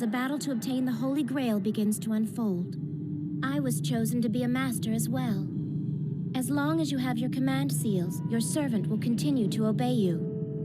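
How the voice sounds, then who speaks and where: Woman acting a high-voiced, haughty teenage girl mage. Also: natural voice. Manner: smug, explanatory, sharp-tongued. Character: haughty, brisk teenage girl mage, exasperated know-it-all. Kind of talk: English anime dub acting. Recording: loud music behind dialogue. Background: loud music.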